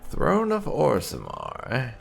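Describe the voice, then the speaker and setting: A man giving roleplay commentary on a video game, thoughtful and deliberate, voicing his lines in between remarks. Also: medium pitch, wildly swooping pitch, creaky, faint music behind. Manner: thoughtful, deliberate, reading character dialogue aloud. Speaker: gaming channel host. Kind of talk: roleplay let's play commentary.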